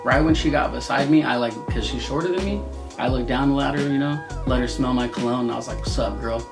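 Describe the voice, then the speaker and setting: A man's voice: medium pitch, fast, creaky, music in the background. A man in his thirties co-hosting a casual couple's Q&A, self-deprecating and jokey about his own awkwardness. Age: 30s. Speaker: co-host of a couple's channel.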